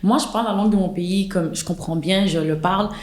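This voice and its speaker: Woman in her twenties, rapid casual storytelling, English words mixed in, roomy echo. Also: mid-range voice, a little mellow. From Quebec, Canada. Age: 20s. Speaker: podcast guest.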